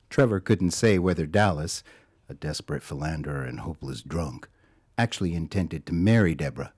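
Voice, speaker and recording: An actor as the narrator of an audio drama, telling a scripted story in a wry, sardonic way. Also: male voice, low-pitched, darkish tone.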